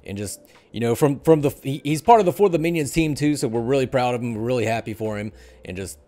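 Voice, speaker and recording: A man's voice: mid-range voice, animated, fast, mellow. Casual middle-aged man hosting a gaming show. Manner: informal, conversational. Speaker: show host. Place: indoor room at a desk. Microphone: headset.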